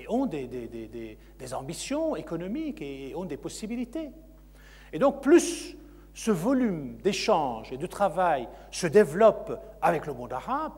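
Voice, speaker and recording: Man, university lecturer, Q&A answers, halting with fillers, slightly high voice, reverberant hall. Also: darkish tone, slightly rough. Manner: hesitant, many fillers and repeated words. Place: conference hall.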